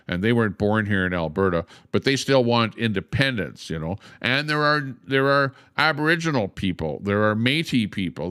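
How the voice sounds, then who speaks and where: A man's voice: lowish voice, a bit bright, clear, resonant tone, dry recording, studio quality. An older man hosting a livestream, explaining at length with frequent "uh"s and a repeated "okay?". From Canada. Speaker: livestream host. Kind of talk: casual livestream monologue.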